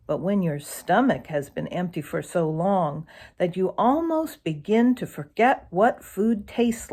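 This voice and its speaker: A woman narrates a children's storybook aloud. She takes her time over each phrase and moves her pitch up and down in a lively, expressive way.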